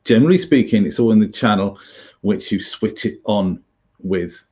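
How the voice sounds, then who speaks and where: A British man giving an informal tutorial as an instructor, halting and chatty with wry asides. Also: fairly low pitch, animated, darkish tone, dull audio. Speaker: survival instructor, presenter.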